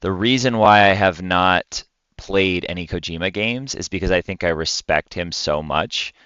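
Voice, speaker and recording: A man's voice: sits low, normal speed, pushed and bright, smooth, no room sound, muffled recording. A man co-hosting a podcast in casual gaming chat, often repeating and rephrasing himself mid-thought. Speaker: podcast co-host.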